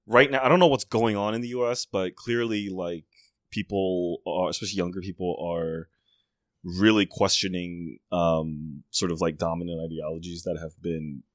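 A man's voice: sits low, steady tone, normal speed, dry recording, clean studio sound. A man co-hosting a casual podcast, rambling and breaking off his own sentences. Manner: rambling, self-interrupting.

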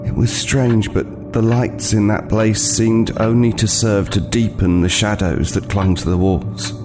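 A man's voice: fairly low pitch, flat delivery, music in the background, close to an everyday voice. An actor playing a weary, wary homeless man, hesitantly telling an unsettling tale in an audio play. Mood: uneasy, ominous. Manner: hesitant, halting, haunted, with repeated words. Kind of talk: dramatic first-person storytelling in an audio play.

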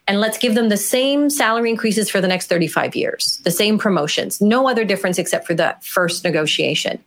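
A woman author being interviewed, talking in an animated, emphatic way with small fillers. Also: mid-range voice. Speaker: author and guest. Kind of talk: conversational interview answers.

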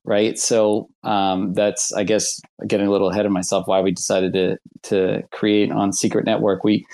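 Earnest American man, low-ish voice. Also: flat delivery, normal speed, gravelly, a little mellow. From United States. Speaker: product lead guest. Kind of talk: casual panel chat.

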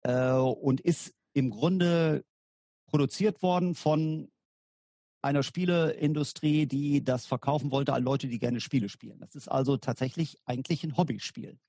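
A man's voice: medium pitch, a little slow, mellow, dry recording, clean studio sound. A man from Germany, a guest on a podcast interview, explaining his field at length in a hesitant way with many fillers. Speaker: guest, war gaming lecturer.

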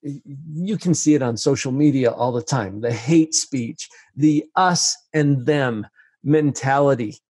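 A man's voice: medium pitch, takes it slowly, darkish tone, husky, dry recording. A male host asking long, framing questions in a conversational way. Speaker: interviewer, host. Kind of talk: interview questions.

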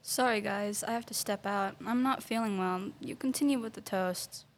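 A woman's voice: medium pitch, moderate pace, crowd noise, natural voice. A woman playing a sombre comic book hero in a youth audio play, with faint room noise. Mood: unwell.

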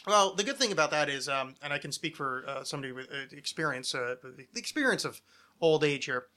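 A man's voice: a little high, expressive, fast, sharp tone. A man in his thirties chatting casually and ramblingly as a podcast host, with a wry, mock-dramatic, self-deprecating manner.

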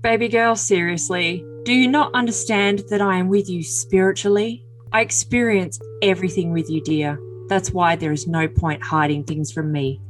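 A woman's voice: fairly low pitch, steady tone, normal speed, faint music behind, lightly acted. A woman playing a possessive, earnest young boyfriend with stilted endearments in a dramatic comedic reading of text messages. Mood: solemn, possessive.

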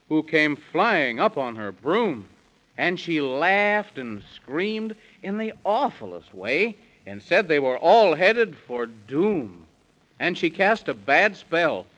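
A man's voice: on the high side, a bit bright. A man narrating a rhyming children's story in theatrical, singsong 1930s radio style. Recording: dull, band-limited 1930s radio recording.

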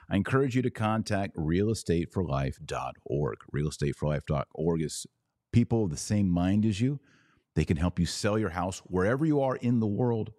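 A man hosting a podcast, giving sarcastic, animated commentary and now and then leaping to high, incredulous exclamations. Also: dry recording, studio quality. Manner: slow deliberate Latin prayer recitation. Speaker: podcast host.

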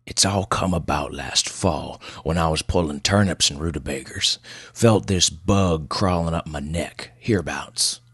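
A man performs an old backwoods in his seventies for an audiobook. The voice is low and gruff, with a folksy, halting delivery. He sounds rustic and suspicious, recorded close and dry. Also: a bit bright, no room sound, exaggerated voice. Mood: confiding. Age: 70s.

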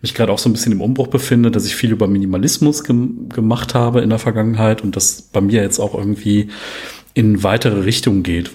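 A man's voice: lowish voice, darkish tone, slightly rough. A young man hosting a podcast, chatting loosely with lots of fillers and rambling questions. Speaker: podcast host. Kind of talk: casual interview chat.

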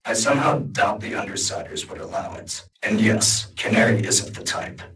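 A man reading a guarded, formal male armored hero in an audiobook, speaking in a measured way. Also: lowish voice, bright, forceful tone, far from the mic, rough recording. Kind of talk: audiobook character voice.

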